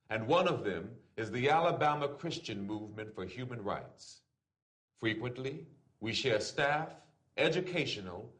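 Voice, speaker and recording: Male reader, oratorical and formal, deliberate phrases that rise and fall widely in pitch. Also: a bit bright. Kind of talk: oratorical reading aloud of a written letter.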